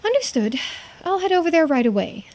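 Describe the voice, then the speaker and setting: A woman voicing a composed female paranormal investigator with a formal, measured manner in a game. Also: very high voice, sing-song, darkish tone, voice pushed up.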